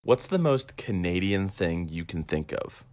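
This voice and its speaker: A young interviewer with a low-pitched voice that moves around a lot in tone. The questions are short and scripted, delivered politely and with an upbeat feel. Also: expressive, unhurried, darkish tone. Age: young adult.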